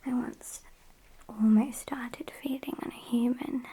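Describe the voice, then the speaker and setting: A woman plays a morbid, sassy British vampire who has taken a captive. She whispers softly and breathily at an unhurried pace, matter-of-fact and intimate in ASMR roleplay style. Her voice is mellow, with noticeable hiss on the recording. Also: fairly high pitch, steady tone, natural voice. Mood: sassy, scornful. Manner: slow, hushed, matter-of-fact, mildly scornful. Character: sassy, morbid female vampire kidnapper tending her victim. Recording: hissy close-up whisper recording.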